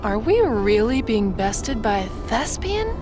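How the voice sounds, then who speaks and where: A woman's voice: a little high, very animated, a little slow. A woman playing a brash, impatient young werewolf in a radio drama, with wry, flippant delivery. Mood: sarcastic. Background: dramatic music.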